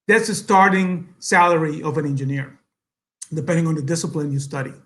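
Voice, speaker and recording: A middle-aged Salvadoran man with a fairly high voice gives an earnest speech at an event. He speaks English with a Spanish accent and stops often on fillers as he gathers his thoughts. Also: a bit bright. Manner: earnest, halting, many fillers, switches to Spanish. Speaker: guest speaker.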